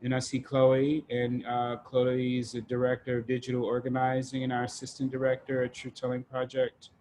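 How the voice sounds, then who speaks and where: Male group host, informal and halting, little pitch movement, some background noise. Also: monotone, darkish tone. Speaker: discussion facilitator. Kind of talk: casual group facilitation on a video call. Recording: noticeable background noise on online call.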